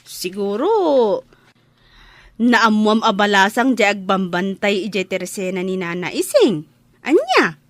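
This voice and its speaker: A woman's voice: medium pitch, very animated, takes it slowly, pauses often, no room sound. A woman with an Ilocano accent playing a teasing, outspoken daughter in an audio drama. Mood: teasing, protesting. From Philippines.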